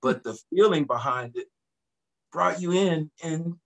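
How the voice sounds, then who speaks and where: A middle-aged man, a singer guest, talking in a relaxed, reflective way about his background on a video call from his home studio. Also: a little high, measured pace, vocal fry. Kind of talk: casual video-call interview.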